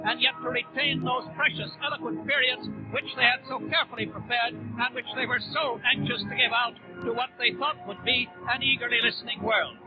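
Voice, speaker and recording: Male 1930s radio reporter, very high voice, formal eloquent delivery, dull vintage broadcast sound. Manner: eloquent, formal, descriptive phrasing. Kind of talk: 1930s live radio commentary. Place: on the platform in a large convention hall.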